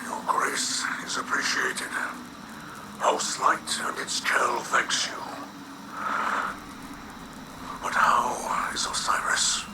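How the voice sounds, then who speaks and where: An actor playing a grave, remorseful alien leader in a video game, formal and breathy. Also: male voice, mid-range voice, completely flat delivery, in a whisper, close to an everyday voice, poor audio. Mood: solemn, regretful. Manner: slow, formal, solemn. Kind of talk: video game character acting.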